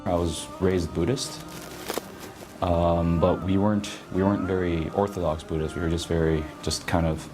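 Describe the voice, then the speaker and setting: Low-voiced man speaking haltingly over music. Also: steady tone. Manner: halting, searching for words. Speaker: interviewee telling a conversion story. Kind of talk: casual personal storytelling. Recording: music playing behind the voice.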